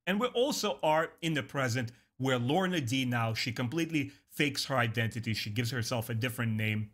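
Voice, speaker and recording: A man's voice: medium pitch, animated, brisk, fairly bright tone. A man hosting a review show, giving a casual spoken review and summary. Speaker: host of a book review show.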